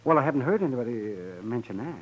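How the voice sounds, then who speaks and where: An American actor playing an old, chatty frontier town doctor in a 1950s radio western, raspy, folksy and fretful. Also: male voice, mid-range voice, sing-song, fast. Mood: fretful, gossipy. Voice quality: raspy, husky. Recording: muffled 1950s radio recording.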